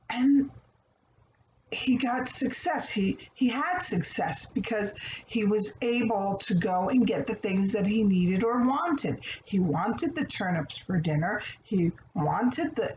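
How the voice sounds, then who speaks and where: A woman giving a conversational children's story lesson in a didactic way, putting questions to listeners and answering them herself. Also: mid-range voice. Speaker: storybook read-aloud host and teacher. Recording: echoey room with faint background noise.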